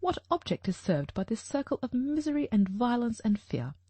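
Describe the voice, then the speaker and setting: A woman plays a philosophical detective with a husky, raised voice that moves widely in pitch. The delivery is solemn and questioning, in the manner of an audiobook drama. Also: sing-song, moderate pace, mellow, no room sound. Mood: solemn, troubled. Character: solemn, philosophical male detective pondering misery.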